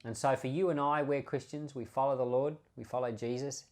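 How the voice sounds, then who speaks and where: A man's voice: medium pitch, fairly even intonation, a little fast, darkish tone. A man speaking as a pastor, explaining scripture in an informal, conversational way and stressing key words by repeating them. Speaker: pastor and podcast host. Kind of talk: informal Bible teaching commentary.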